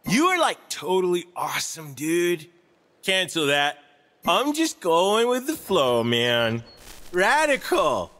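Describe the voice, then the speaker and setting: A mellow hippie wizard from a video game, high for a male voice and swinging widely in pitch. He drawls slowly like a spaced-out surfer dude, with long reverb trailing the words. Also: sing-song, heavily acted. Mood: spaced-out, friendly. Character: mellow hippie stoner wizard, laid-back nature lover. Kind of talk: video game character voice lines.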